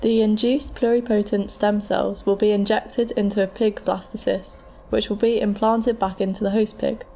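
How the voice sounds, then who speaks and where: Casting: actress as composed, frank scientist advisor, dark soft tone with gravelly fry, scripted explanatory delivery, amateur audio drama. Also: female voice, mid-range voice. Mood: explaining. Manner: measured, matter-of-fact, explanatory. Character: frank, calm futuristic transplant scientist advising a patient.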